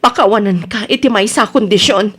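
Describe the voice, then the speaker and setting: A woman's voice: mid-range voice, brisk, fairly bright tone, slightly rough. An actress playing a stern, aggrieved wife and concerned mother in a radio drama, firm and reproachful. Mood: stern, hurt.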